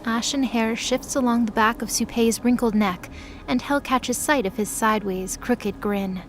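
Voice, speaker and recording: A woman's voice: a little high, moderate pace, slight background noise. A woman reading scripted, dramatic third-person narration for a mythology audio drama.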